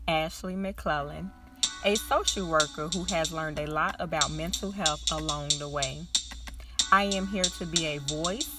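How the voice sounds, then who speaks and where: A young woman hosting a casual solo podcast, congested from a cold, talking in an earnest, heartfelt way. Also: low voice. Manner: earnest, heartfelt, slow and reflective. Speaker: podcast host, social worker.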